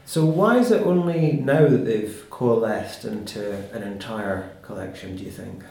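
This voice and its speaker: A man with a husky voice, presenting and interviewing as a podcast host. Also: lowish voice, a little slow, dark tone. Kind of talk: scripted podcast introduction and interview.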